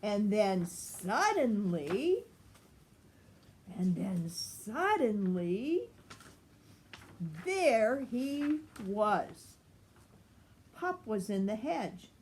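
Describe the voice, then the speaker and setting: Slow, lively older woman reading a storybook. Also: medium pitch, slight background noise. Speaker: grandmother reading a children's story.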